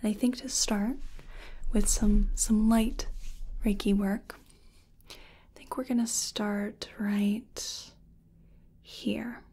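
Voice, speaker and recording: Female ASMR performer as a soothing energy healer; breathy, crackly soft whisper, very slow delivery. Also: medium pitch, little pitch movement. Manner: gentle, soothing, unhurried. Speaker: ASMR performer role-playing a reiki practitioner. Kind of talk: soft-spoken ASMR personal attention roleplay.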